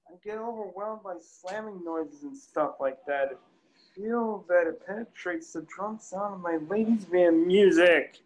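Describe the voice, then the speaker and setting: A man's voice: sits high, measured pace, slight background noise, poor audio. A man reading the part of an anxious, easily overwhelmed man in a table read over a video call, in a whiny, flustered way.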